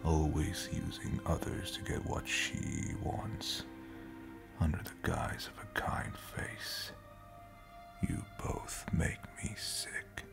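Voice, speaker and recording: A man acting a cold, condescending space pirate captain and father in an audio drama, with a gravelly voice that drops into breathy whispers. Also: very deep voice, faint music behind, heavily acted. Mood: scornful. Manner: slow, measured, detached, menacing. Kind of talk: scripted audio roleplay drama.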